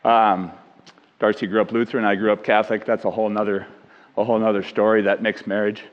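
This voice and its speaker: An American man past his younger years preaches informally in a low, loud voice. He speaks plainly and earnestly, like a layman talking to people he knows. The sound is muffled, with long reverb from a big church hall. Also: flat delivery, fast. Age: middle-aged or older. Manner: plain-spoken, earnest, conversational. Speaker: guest lay preacher. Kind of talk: informal sermon. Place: large echoing church hall.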